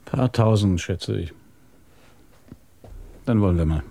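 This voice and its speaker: Low-voiced, dry police inspector. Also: male voice, slow, creaky, warm tone, heavily acted. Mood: matter-of-fact. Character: seasoned, dry-witted male New York police inspector. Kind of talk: German radio crime drama acting.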